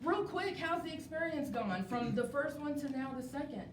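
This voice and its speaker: A woman's voice: expressive, moderate pace. A woman enthusiastically hosting a live interview show, upbeat and encouraging, repeating phrases for emphasis. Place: indoor venue with a live studio audience. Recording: roomy, distant mic with clear rumbling noise.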